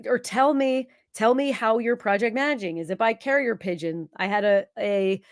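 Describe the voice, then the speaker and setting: A woman in her thirties with a cold, hosting a live Q&A as a productivity consultant, chatty and quick to agree. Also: fairly high pitch, no room sound, studio quality. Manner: chatty, self-interrupting, many quick yeses. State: has a cold, sniffling. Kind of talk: live stream Q&A chat.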